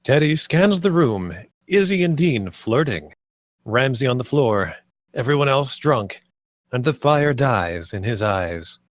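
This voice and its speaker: A man's voice: mid-range voice, sing-song, unhurried, no room sound. Man narrating a screenplay, dry recording. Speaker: narrator reading stage directions.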